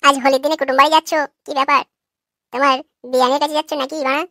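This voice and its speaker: Performer doing a brash, fast-talking young lad. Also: high voice, little pitch movement, sharp tone, no echo, clean studio sound. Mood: teasing. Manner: cocky, fast, teasing. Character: brash crude young neighborhood prankster lad.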